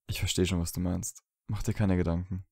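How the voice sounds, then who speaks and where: A man acts out a young wizard in an audio drama, lovesick and a little sullen. His voice is low and mellow, the delivery dry and offhand, recorded close and dry. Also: flat delivery, fairly quick, creaky, dry recording. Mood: evasive. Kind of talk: fan fiction audio drama acting.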